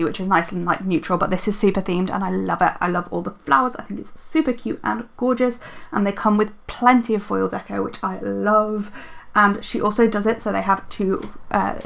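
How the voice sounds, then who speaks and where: A woman chatting casually while she decorates a planner and talks about books, rambling with lots of fillers and self-corrections, her voice a little croaky from a sore throat. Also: medium pitch, fairly bright tone. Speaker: planner and book vlogger.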